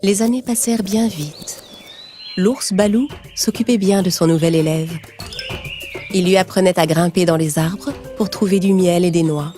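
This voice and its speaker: Woman reading a kids story, soft dark tone, kinda low, measured, bit of music behind. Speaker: narrator. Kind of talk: scripted children's story narration.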